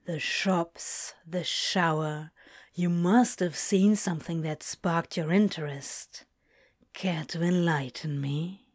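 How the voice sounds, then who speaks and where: Woman doing a cocky sardonic demon guy for an audio story, low n slow, drawls every word. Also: steady tone, a little mellow. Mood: seductive, teasing. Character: swaggering, sardonic male demon, accusing and teasing.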